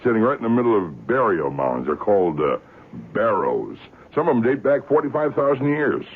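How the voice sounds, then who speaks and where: Brusque middle-aged American editor, gruff, low-pitched, husky, old radio drama. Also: male voice, big pitch swings, normal speed, exaggerated voice. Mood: businesslike. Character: brusque male newspaper features editor, an uncle. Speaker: actor. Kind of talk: 1970s radio drama acting. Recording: muffled, narrow-band old radio recording.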